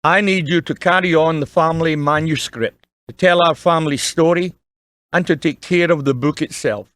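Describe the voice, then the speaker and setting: A man playing a kindly, earnest dying father in an audio drama, gently and solemnly advising his young son. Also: fairly high pitch, normal speed, no room sound, lightly acted. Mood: earnest, reflective.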